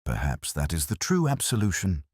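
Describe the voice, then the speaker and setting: Man acting cerebral detective, bass-deep, solemn, deliberate, aphoristic, dry recording, storybook mystery. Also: huge pitch swings, a little mellow, slightly rough, dry recording, heavily acted. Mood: quiet, solemn. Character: cerebral, grave male detective, quietly philosophical.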